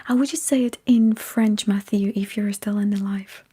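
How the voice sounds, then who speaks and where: A woman whispering breathily in a halting ASMR livestream chat with her viewers. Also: medium pitch, fairly even intonation, dark tone, breathy edge. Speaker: ASMR streamer chatting with live viewers.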